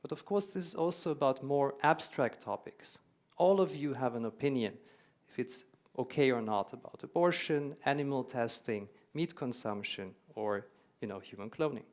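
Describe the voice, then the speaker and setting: A man's voice: medium pitch, normal speed. A man from Hungary who grew up in Germany, speaking with a German accent as he gives a conference keynote in a hall, earnest and explanatory, repeating words for emphasis. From Hungary, raised in Germany.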